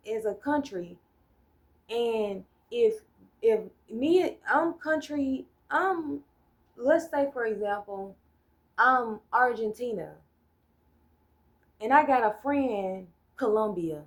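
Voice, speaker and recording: Rambling American woman, slightly high voice. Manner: rambling, loses her train of thought, blunt and profane; talks things through with hypotheticals and "right?" checks. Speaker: solo vlogger. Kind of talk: casual political rant.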